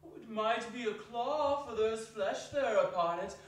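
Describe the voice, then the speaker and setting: Guy doing an old christmas ghost, solemn but hearty, highish voice, distant echoey stage recording. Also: measured pace. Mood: grave, urgent. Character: hearty, solemn, ageing male Christmas spirit. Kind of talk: theatrical dramatic reading on stage. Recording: echoey hall, distant, faintly noisy.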